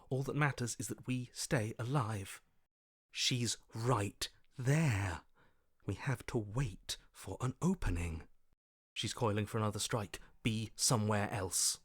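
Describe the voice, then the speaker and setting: An actor playing a wary, primal survivor's inner voice in a video game, speaking in terse, watchful phrases. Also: male voice, fairly low pitch, husky, dry recording, studio quality. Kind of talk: video game character voice acting.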